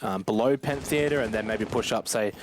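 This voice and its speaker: A man's voice: lowish voice, a little fast. A man giving live, analytical esports commentary in a conversational way, often addressing the audience directly. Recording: clear game noise behind the voice.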